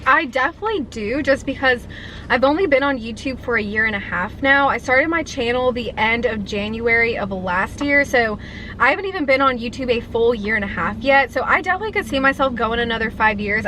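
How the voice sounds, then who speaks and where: A woman's voice: fairly high pitch, bright, forceful tone, a little hiss or hum, poor audio. A woman in her twenties chatting casually and eagerly while eating on camera, answering viewer questions. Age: 20s. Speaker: food vlogger. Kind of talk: casual mukbang chat.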